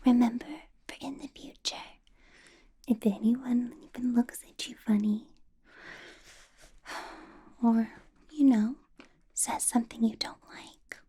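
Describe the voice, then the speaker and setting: A woman voicing a possessive ancient female vampire boss in a whispered ASMR roleplay, soft and doting with a quiet menace, close to the microphone. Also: on the high side, fairly even intonation, takes it slowly, pauses often, slightly rough, heavily acted. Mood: sweetly menacing.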